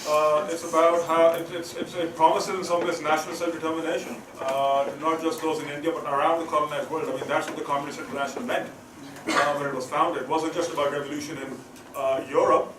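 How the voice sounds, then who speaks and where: A man on a seminar panel, talking in quick bursts full of fillers. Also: a little high, flat delivery. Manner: rapid, halting with many fillers. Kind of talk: academic panel discussion. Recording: roomy hall, distant mic, noisy.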